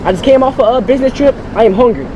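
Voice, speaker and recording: A man's voice: very high voice, rapid-fire, muffled recording. A young man making casual, mock-earnest prank banter as a customer, talking from inside a car. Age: young adult. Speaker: prankster customer at a drive-thru. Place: inside a car at a drive-thru. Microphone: handheld camera in the car. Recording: noisy car and outdoor ambience.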